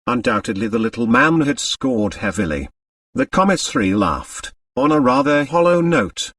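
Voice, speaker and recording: Animated male audiobook narrator, lively pitch. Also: normal speed, creaky, no echo, distant mic. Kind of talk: scripted dramatic narration of a mystery novel.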